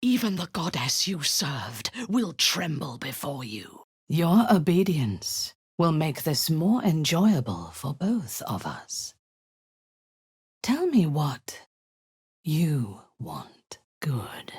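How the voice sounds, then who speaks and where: An actress playing a cold, imperious female drow warlord in a video game, commanding and menacing, sometimes dropping to a husky whisper. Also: deep voice, big pitch swings, slow pace, a little mellow, dry recording, studio quality, exaggerated voice.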